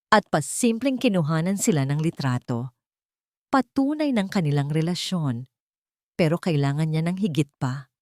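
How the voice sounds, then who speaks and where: A woman narrates a scripted drama in a fairly low voice. The sound is dry, with very little room around it. She delivers it as storytelling. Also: a little mellow, no echo.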